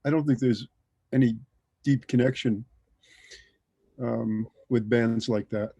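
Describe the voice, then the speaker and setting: Male panelist, mid-pitched and dry, halting, thinking-aloud delivery. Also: fairly even intonation, creaky, warm tone, breathy edge, no room sound, lo-fi sound. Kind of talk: casual panel discussion. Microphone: video call.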